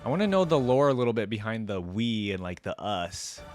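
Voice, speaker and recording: A man's voice: fairly low pitch, big pitch swings, fairly quick, soft background music. A man hosting a reaction video, giving casual, off-the-cuff commentary.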